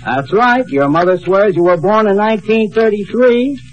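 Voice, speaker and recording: A man playing a courteous government clerk in a 1950s radio comedy. His voice is a bit high, with dry amusement under the official politeness. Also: normal speed, highs cut off, faint music behind, close to an everyday voice. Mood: polite, amused. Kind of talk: 1950s radio sitcom acting.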